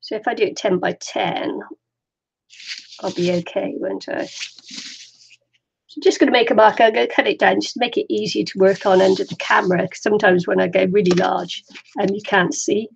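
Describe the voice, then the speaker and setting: A woman's voice: mid-range voice, fairly even intonation, normal speed, vocal fry, breathy edge, muffled recording. An older woman from the Welsh borders, chatty and self-deprecating, rambling through a casual craft tutorial. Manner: chatty, rambling, laughs often. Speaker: crafter streaming a zine tutorial.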